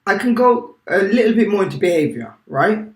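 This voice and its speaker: A man's voice: high voice, brisk. A British-born man who is a teacher, talking in a casual interview and often checking in with "right?". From United Kingdom. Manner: animated, keeps checking in with "right?". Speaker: teacher, guest. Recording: echoey room.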